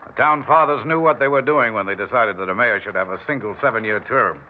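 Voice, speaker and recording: An American actor playing a genial, avuncular middle-aged outgoing mayor in a classic radio drama. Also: male voice, fairly low pitch, quick pace, a little hiss or hum, natural voice. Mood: hearty, encouraging. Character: genial, hearty outgoing small-town mayor, generous and avuncular. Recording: tinny old radio recording, faint music.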